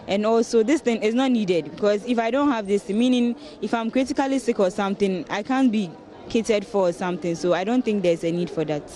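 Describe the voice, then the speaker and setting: A woman's voice: mid-range voice, a little fast, mellow. A woman with a Ghanaian accent giving her opinion in a casual street interview. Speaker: member of public in street interview. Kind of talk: casual vox pop. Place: outdoors on the street.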